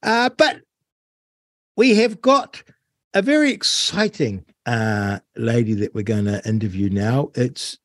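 A man's voice: medium pitch, sing-song, slow pace, dry recording, clean studio sound. A New man hosting an interview, talking casually and wryly, stretching words for emphasis. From New Zealand. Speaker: host.

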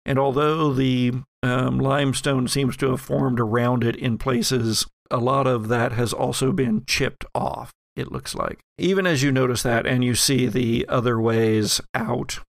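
A man acts as game master, describing scenes in a wry, conversational manner. He takes his time over the details at an unhurried pace. The sound is dry, with very little room in it. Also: medium pitch, gravelly, no echo. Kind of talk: narrating a tabletop role-playing game, conversational.

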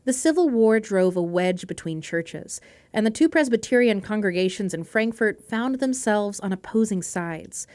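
A woman's voice: medium pitch, animated, normal speed, darkish tone. Woman narrating a local history podcast. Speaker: host, narrator. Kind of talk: scripted narration.

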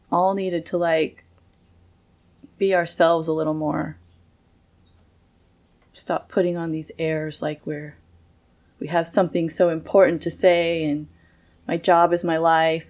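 A woman with a slightly low voice trades wry, casual banter as a podcast co-host. She tells stories with animation and laughs often. Her voice comes through clear and full even when she sits back from the mic. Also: takes it slowly, long pauses. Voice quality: voice carries clearly from a distance. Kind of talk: casual chat.

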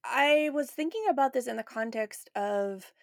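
A woman's voice: fairly high pitch, moderate pace, gravelly, no room sound, studio quality. A woman hosting a podcast in a casual, reflective conversational style. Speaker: podcast host.

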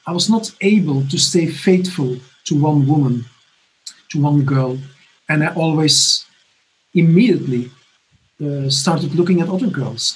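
A man in his fifties from Belgium giving a personal recovery testimony from his apartment, halting and earnest with many restarts. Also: a little high, rough recording. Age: 50s. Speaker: recovery conference speaker. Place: home apartment.

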